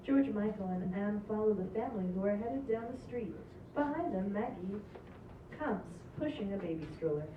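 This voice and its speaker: A woman's voice: medium pitch, expressive, normal speed. A woman reading stage directions as scripted narration at a table read. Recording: echoey, distant, dull, slightly noisy room.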